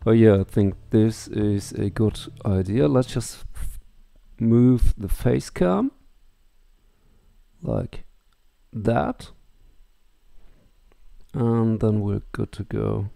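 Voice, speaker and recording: A man's voice: on the low side, very slow, vocal fry, long pauses, mellow, no echo, clean studio sound. A middle-aged man doing casual let's play commentary and reading on-screen game text aloud. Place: small room at home, indoors. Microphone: headset microphone. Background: faint game music.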